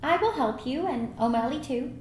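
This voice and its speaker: Self-assured, proud female adventurer, acted by a woman at a table read; highish pitch, roomy sound with faint noise. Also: animated, normal speed. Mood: teasing, confident. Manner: firm, self-assured, formal. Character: proud, determined, independent young woman cyclist adventurer. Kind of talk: screenplay table reading. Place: echoey room, live table reading. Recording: echoey room, faint background noise.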